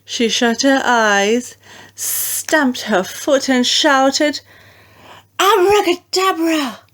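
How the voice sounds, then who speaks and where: A woman narrating a children's storybook in an expressive, deliberate way. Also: a little high. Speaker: audiobook narrator.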